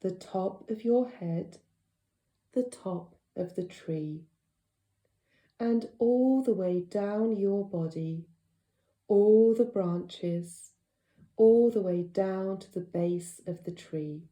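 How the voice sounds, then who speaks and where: A woman leading a guided relaxation as a yoga nidra teacher, speaking gently and soothingly with unhurried pauses. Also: medium pitch, roomy sound, highs cut off.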